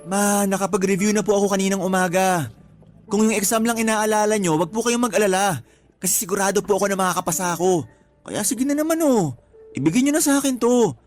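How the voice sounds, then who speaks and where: A man's voice: high voice, quick pace, faint music behind, close to an everyday voice. A young man playing a cajoling, carefree son in a radio drama, playful and polite as he wheedles. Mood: pleading. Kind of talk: Tagalog radio drama acting.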